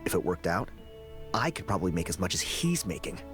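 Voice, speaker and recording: A bitter young researcher. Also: male voice, low-pitched, sing-song, brisk. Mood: aggrieved. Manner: sulky, grumbling. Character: resentful young male researcher. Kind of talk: game voice acting. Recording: game audio with background music.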